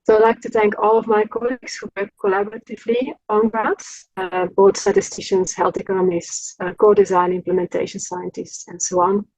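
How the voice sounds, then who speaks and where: A woman statistician giving a measured, earnest presentation with frequent hesitations. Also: mid-range voice, level tone, a little mellow, no echo, rough recording. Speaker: collaborative statistician. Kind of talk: conference presentation.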